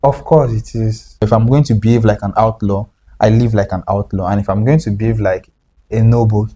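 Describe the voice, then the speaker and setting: Relaxed young man, low mellow voice. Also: brisk. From Nigeria. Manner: relaxed, explains with "like" and repeats himself. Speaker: writer guest. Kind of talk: casual conversation.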